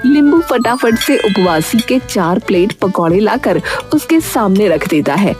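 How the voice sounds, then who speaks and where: A woman's voice: medium pitch, animated, measured pace, a little mellow, soft background music. A woman narrator telling a scripted children's-style cartoon story.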